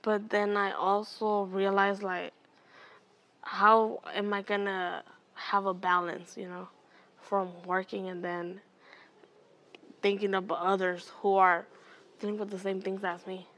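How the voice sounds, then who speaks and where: A young woman from Oakland, an educator and mentor, answering interview questions outdoors in a slow, careful way. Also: mid-range voice, little pitch movement, fairly bright tone, a little hiss or hum. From Oakland, California.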